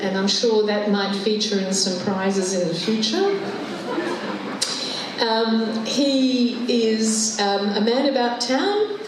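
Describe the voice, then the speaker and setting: A woman giving an enthusiastic introduction speech for a new chief executive, with frequent fillers. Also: a little high. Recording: echoey room, background noise.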